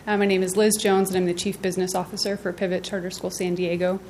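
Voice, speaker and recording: A woman's voice: lowish voice, little pitch movement, quick pace, darkish tone, a little hiss or hum. Composed woman addressing a school board. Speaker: school business officer.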